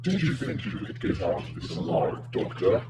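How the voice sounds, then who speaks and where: A man's voice: deep voice, sing-song, moderate pace. A man acting in an audio drama as a vengeful, gloating villain with a menacing, theatrical delivery. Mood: gloating menace. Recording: loud background noise.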